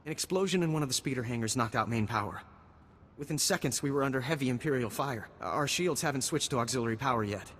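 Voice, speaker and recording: A man's voice: medium pitch, lively intonation, quick pace, fairly bright tone, close to an everyday voice. Man acting as anxious young-sounding lieutenant, echoing hall. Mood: worried, pleading. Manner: urgent, faltering, pleading. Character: anxious, earnest male army lieutenant at besieged outpost. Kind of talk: scripted video game cutscene acting. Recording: long reverberant hall, faint background noise.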